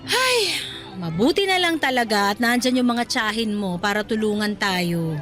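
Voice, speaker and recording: A woman's voice: on the high side, expressive, normal speed, a bit bright, faint music behind. A woman playing a loving, hardworking middle-aged mother in a Filipino radio drama, with an affectionate, motherly manner. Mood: affectionate, teasing. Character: loving, teasing, hardworking eatery-worker mother.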